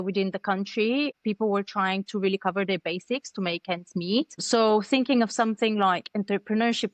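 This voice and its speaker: Female guest, 30s, native Bulgarian speaker, mid-pitched, articulate conversational explainer, dry room sound. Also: no echo. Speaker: interview guest, startup co-founder. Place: plain room at home, video call.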